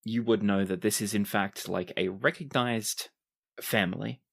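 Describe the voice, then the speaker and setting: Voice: sits low, normal speed, vocal fry, a bit bright, breathy edge. A game master narrating a tabletop roleplaying game, telling the story in dramatic detail and dropping into casual asides.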